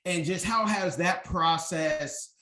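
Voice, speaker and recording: A man's voice: high voice, takes it slowly, crowd noise. A man hosting an interview podcast, chatty and enthusiastic, affirming his guest's answers. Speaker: podcast host.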